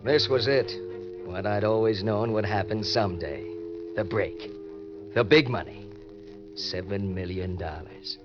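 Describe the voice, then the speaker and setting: An actor with an American accent playing a wry, laconic ex-convict war veteran in an old-time radio crime drama. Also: male voice, on the low side, a little slow, lightly acted. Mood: wry narration. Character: hard-boiled ex-convict war veteran posing as missing heir. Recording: dull vintage radio recording, faint music.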